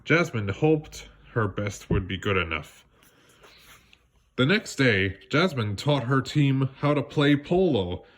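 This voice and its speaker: A man narrating a children's storybook aloud in an expressive way, occasionally stumbling on a word. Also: mid-range voice, takes it slowly. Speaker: storybook reader.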